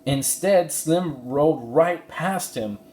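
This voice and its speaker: A man giving an expressive narration of a children's storybook. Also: a little high, slow pace. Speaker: story reader. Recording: roomy echo, faint background noise.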